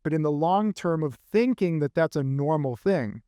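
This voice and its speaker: Earnest man with a smooth voice. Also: fairly high pitch, expressive, warm tone, dry recording, studio quality. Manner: earnest, thinks aloud in measured phrases. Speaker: fitness coach guest. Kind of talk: podcast interview answers.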